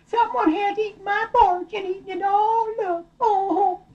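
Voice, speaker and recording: Storyteller doing high pitched mama bear, fretful but motherly, storybook, muffled lofi. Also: steady tone, normal speed, darkish tone. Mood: dismayed.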